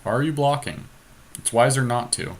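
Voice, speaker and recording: A man's voice: mid-range voice, moderate pace. A man chatting casually as a podcast host, thinking aloud through an online card game with his co-host.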